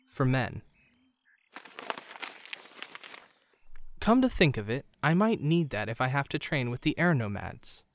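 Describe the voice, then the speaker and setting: A man playing a kind, earnest teenage boy in an animated fan drama, with a gentle, playful, conversational manner. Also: medium pitch, moderate pace, a little hiss or hum. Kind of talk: fan visual novel voice acting.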